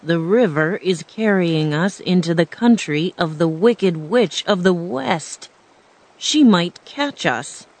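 A woman voicing a steady, hardworking male tin woodman in a storybook reading, plain and serious. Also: fairly low pitch, slow pace, heavily acted. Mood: grave.

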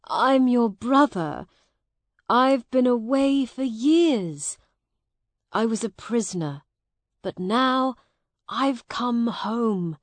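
A woman's voice: fairly high pitch, slow, dry recording. A woman voicing a long-lost brother in an audiobook, a pleading, indignant young man who speaks emphatically. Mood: earnest. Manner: emphatic, imploring. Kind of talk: graded audiobook character dialogue.

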